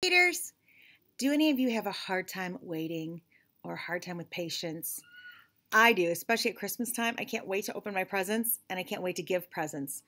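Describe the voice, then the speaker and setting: A woman's voice: mid-range voice, expressive, a little fast, bright, forceful tone. A middle-aged woman hosting a picture-book read-aloud, chatting to young listeners. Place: small indoor room with shelves.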